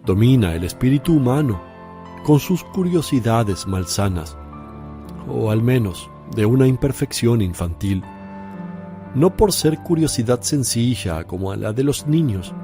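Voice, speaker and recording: A man playing a slow, solemn Jesus. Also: medium pitch, lively intonation, mellow, soft background music, close to an everyday voice. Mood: preaching. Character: Jesus, calm gentle male teacher and preacher. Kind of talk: radio drama acting.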